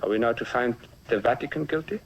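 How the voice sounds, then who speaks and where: A man's voice: mid-range voice, quick pace, dull audio, close to an everyday voice. A man playing an impassioned defense lawyer, delivering an emphatic, oratorical courtroom speech in a dramatic film. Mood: indignant, accusing. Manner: oratorical, emphatic, rhetorical questioning. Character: impassioned male defense lawyer arguing before a tribunal.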